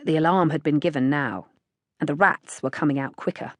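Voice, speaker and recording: A woman's voice: sits low, a little fast, no echo. A woman with a British accent reading literary fiction as an audiobook narrator.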